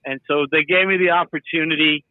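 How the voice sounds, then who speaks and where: Guest, male, upbeat raconteur, slightly high voice, tinny phone-like sound. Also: a little fast, dry recording. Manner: animated, quotes people in his stories. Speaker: guest musician. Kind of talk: casual storytelling.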